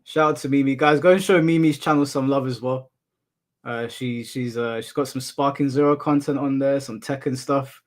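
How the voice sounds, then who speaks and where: Give me a relaxed man from the UK with a flat, monotone voice, chatting with his viewers. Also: medium pitch, normal speed. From United Kingdom. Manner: relaxed, laughs off insults, addresses chat as bro. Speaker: streamer. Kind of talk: casual livestream chat reading chat. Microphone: headphones in, desk mic.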